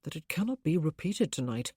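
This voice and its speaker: A woman voicing a gentle, lonely newly married man who speaks tenderly and coaxingly. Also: low-pitched, very animated, a little fast, slightly rough, dry recording, heavily acted. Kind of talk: audiobook character voice.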